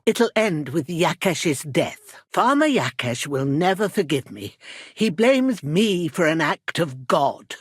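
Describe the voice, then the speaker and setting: A middle-aged village midwife in a medieval video game, weary and embittered yet fiercely protective of her own. Her delivery is earnest and emphatic, with a very lively melody. The recording is dry, with no room around the voice. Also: fairly low pitch, slightly rough, dry recording, heavily acted. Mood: defiant, weary. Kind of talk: dramatic game dialogue acting.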